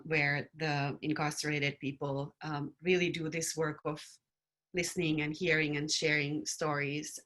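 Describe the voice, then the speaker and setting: A woman raised in Finland, speaking as a panelist in a thoughtful, earnest and measured way. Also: sits low, fairly bright tone. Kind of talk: reflective panel talk.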